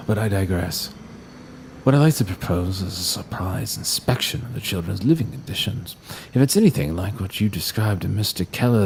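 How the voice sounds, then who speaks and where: An actor playing a stately, pompous child services official who speaks formally. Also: male voice, sits low, gravelly, slight background noise.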